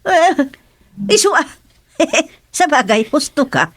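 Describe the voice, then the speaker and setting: A woman's voice: high-pitched, sing-song, slow pace, no echo, studio quality, full character voice. A woman acting a chatty, teasing townswoman in a radio comedy drama. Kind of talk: radio serial comedy drama dialogue in Ilocano.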